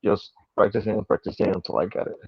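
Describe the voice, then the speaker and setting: A soft-spoken man from Mexico City with a Mexican Spanish accent, a native Spanish speaker answering hesitantly with fillers over a video call. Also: on the low side, fast, vocal fry, husky, dry recording. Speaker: guest illustrator. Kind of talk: interview answers. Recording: muffled, dry video call audio.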